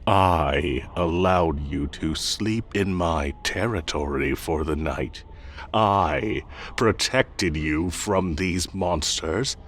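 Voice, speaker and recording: A man's voice: low-pitched, fairly bright tone, slight background noise. A man playing a smug, possessive and menacing fae king in roleplay audio, theatrical and condescending as he speaks directly to the listener. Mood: smug coaxing. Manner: theatrical, condescending, slow deliberate coaxing.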